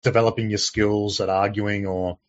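A man's voice: on the low side, little pitch movement, moderate pace, no echo. A man co-hosting a livestream, chatting casually and teasing his co-host, with a chatty run of fillers. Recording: dry, slightly muffled stream audio.

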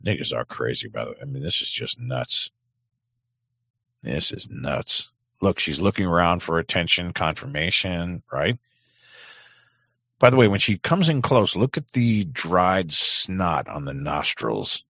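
A man's voice: sits low, sing-song, unhurried, vocal fry, fairly bright tone, breathy edge, no room sound. A man streaming live, chatting casually and reacting crudely to clips he plays. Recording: dry, dull lo-fi sound.

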